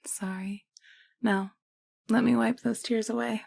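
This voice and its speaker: Lady playing a soothing caretaker woman, soft n breathy w/ lots of fry, coaxing but firm, audio drama. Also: fairly high pitch, fairly even intonation, takes it slowly, exaggerated voice. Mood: tender, reassuring. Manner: hushed, reassuring, coaxing. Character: caring, soothing woman named Allie, gently teasing and firm. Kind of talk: audio roleplay drama acting.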